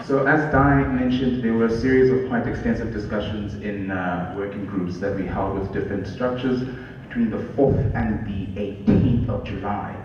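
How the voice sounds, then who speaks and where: A man in his thirties with a South African English accent, a program manager giving a loud, friendly conference talk with a handheld mic. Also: lowish voice, unhurried, distant mic, noisy background. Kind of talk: conference presentation. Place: large hall with curtains. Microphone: handheld microphone. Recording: echoey hall with long reverb, dull.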